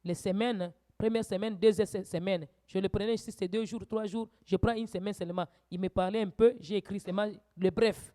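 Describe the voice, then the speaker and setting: A woman preacher teaching a church sermon, swinging from quiet asides to loud, urgent exhortations. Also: mid-range voice, fairly even intonation, a little fast, hardly any pauses.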